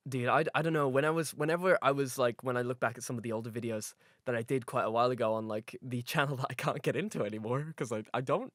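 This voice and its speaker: A man with a fairly high voice co-hosting a casual podcast, rambling excitedly with swearing and restarts. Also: fast, few pauses, no echo, clean studio sound. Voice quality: relatively high voice. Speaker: podcast co-host.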